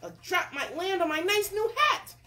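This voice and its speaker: A woman voicing a timid male storybook cook who is scared of everything, high and quavering, stammering and pleading. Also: very animated, a little slow, a bit bright, full character voice. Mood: terrified. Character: timid, terrified wimpy male cook with wobbly knees.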